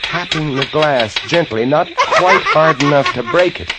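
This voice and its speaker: A man playing a haunted, desperate lighthouse keeper, narrating his ordeal with intense, deliberate dramatic pauses in a vintage 1950s radio drama recording. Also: a little high, lively intonation, heavy background noise. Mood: tense, hushed.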